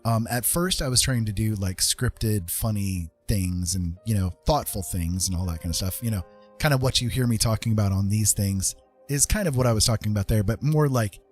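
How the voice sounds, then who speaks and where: A man's voice: on the low side, a little fast, a little mellow, faint music behind. A man hosting a livestream, chatting casually and personably to viewers in a rambling way. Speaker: livestream host.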